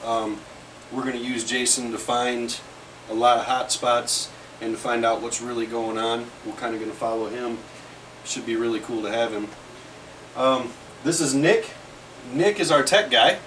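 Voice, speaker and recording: A man's voice: medium pitch, fairly even intonation, moderate pace, fairly bright tone, roomy sound. Man, thirties, laid-back, joking, lots of fillers, distant mic, faint hiss. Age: 30s. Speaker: team leader introducing members. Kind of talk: casual group introduction. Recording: distant mic with background noise.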